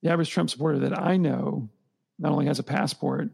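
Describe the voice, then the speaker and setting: A man's voice: a little high, steady tone, quick pace, creaky, darkish tone, husky. A man co-hosting a podcast, thinking aloud in long rambling stretches and often restarting his sentences. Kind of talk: conversational podcast discussion.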